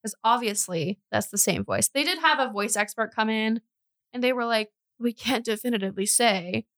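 Casual woman storyteller with a creaky voice. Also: on the high side, moderate pace, fairly bright tone, no room sound. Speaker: true crime podcast host. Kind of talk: conversational true crime storytelling.

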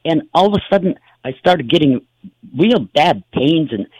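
A man's voice: on the high side, lively intonation, moderate pace, darkish tone, no room sound, lo-fi sound. A man hosting a talk show, telling stories in a rambling, casual way with many fillers and restarts. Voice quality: gravelly, husky.

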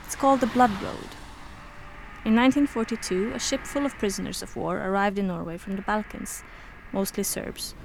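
A woman's voice: medium pitch, fairly even intonation, normal speed, vocal fry, slight background noise, lightly acted. A woman playing a frank, insistent character proud of her family history, speaking directly and pointedly in a naturalistic audio drama. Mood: grave.

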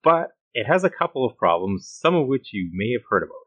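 A man's voice: medium pitch, very animated, brisk, fairly bright tone. A man co-hosting a conversational podcast, with an understated, dry manner. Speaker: podcast co-host.

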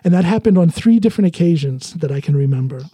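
A man's voice: a little high, expressive, fast, warm tone, slightly rough, no echo. An American man hosting a podcast, talking casually and telling personal stories. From United States. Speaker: podcast host. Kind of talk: casual conversation, personal storytelling.

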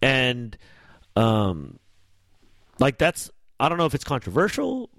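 A man hosting a loose conversation among friends, lively and slangy, his questions wandering as he thinks aloud. His voice is close and dry with almost no room sound. He sits at an ordinary middle pitch for a man. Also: slow, no echo. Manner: animated, slangy, rambling questions. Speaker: podcast host. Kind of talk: casual chat.

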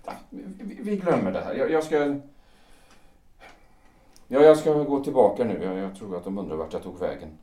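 A man playing a wounded, jealous fifty-year-old former lover in a period audio drama, brooding and halting, often repeating his words. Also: lowish voice, animated, mellow, far from the mic, close to an everyday voice. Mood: wounded, resigned. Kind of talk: period stage-play audio drama acting. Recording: roomy echo, away from mic.

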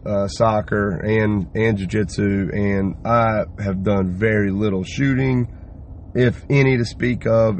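A hoarse man hosting a podcast, talking through a loose, rambling solo update and swearing casually. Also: fairly low pitch, a little mellow, a little hiss or hum. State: hoarse, lost voice from yelling. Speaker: podcast host.

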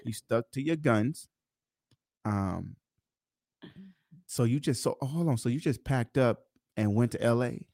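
A man runs a relaxed interview, full of slang, teasing and ready laughs. His voice sits at an ordinary pitch for a man. The recording is close and dry. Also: unhurried, vocal fry, pauses often, dry recording. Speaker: podcast host. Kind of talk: casual interview chat.

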